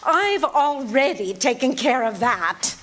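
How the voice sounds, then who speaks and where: A woman playing a fussy, high-strung invalid wife. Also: big pitch swings, slow pace, fairly bright tone, full character voice. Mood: anxious, insistent. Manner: indignant, fussy, stammering. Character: fretful, imperious bedridden wife, older woman. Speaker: lead actor in a radio play. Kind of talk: live radio-theatre acting before an audience.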